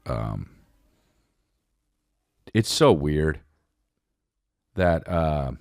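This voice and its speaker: A man's voice: extremely low pitch, very animated, very slow, gravelly, a little mellow, no room sound, studio quality. A man hosting a comedy podcast, rambling casually in slang and profanity, scattered and often amused by his own jokes.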